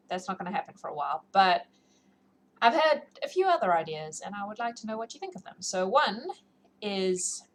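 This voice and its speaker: A woman hosting a livestream, chatting casually and informally to her viewers in a rambling, self-deprecating way. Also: medium pitch. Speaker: livestream host who reads books aloud. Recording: clearly noisy livestream audio.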